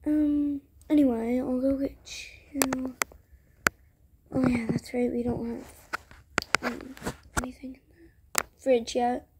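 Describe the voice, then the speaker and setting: A woman improvising character dialogue for a roleplay story video, with halting, hesitant delivery. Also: high-pitched, flat delivery, background noise. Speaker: video creator voicing all characters.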